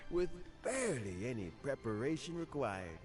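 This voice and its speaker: An actor playing a kindly, reflective old man in a video game, speaking in a measured, wistful, earnest way. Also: mid-range voice, very animated, a little mellow, close to an everyday voice. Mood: genial. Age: older. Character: kindly, reflective old man nearing retirement, fond of fishing. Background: game music.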